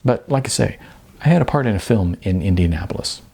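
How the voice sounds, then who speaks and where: Naive young male newcomer played by a male narrator, eager but hesitant, gravelly and husky, audiobook dialogue. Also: on the low side, expressive, a little mellow, heavily acted. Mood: naive, puzzled. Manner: hesitant, with stammers and pauses.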